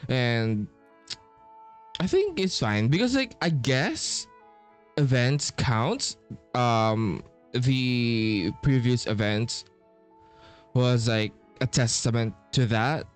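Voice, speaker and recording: A man gives casual playthrough commentary. He speaks slowly in a halting, rambling, slangy way. Game music plays faintly behind him. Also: medium pitch, expressive. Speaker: game streamer. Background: video game music.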